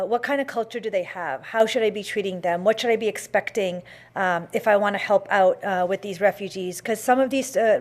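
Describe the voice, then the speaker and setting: A woman hosting a talk show, telling a story in a chatty way with many fillers and restarts. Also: medium pitch.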